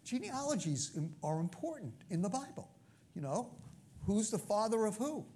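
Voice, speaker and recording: A male preacher with a Brooklyn accent, speaking in a slightly higher register than most men. He teaches through scripture in a loose, conversational way. He often stops to check in with his listeners. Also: big pitch swings, moderate pace, mellow, a little hiss or hum. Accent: New York (Brooklyn). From Brooklyn, New York. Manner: conversational, frequent asides and check-ins. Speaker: pastor. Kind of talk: sermon teaching.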